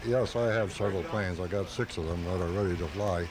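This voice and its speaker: A man's voice: sits low, quick pace. A man being interviewed as a hobbyist on live radio, explaining things casually and plainly. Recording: noisy home-taped live radio.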